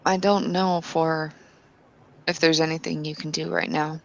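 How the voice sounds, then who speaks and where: A woman's voice: sits low, level tone, unhurried, lo-fi sound. A woman playing a tabletop roleplaying game, speaking in character, earnestly and with some hesitant restarts.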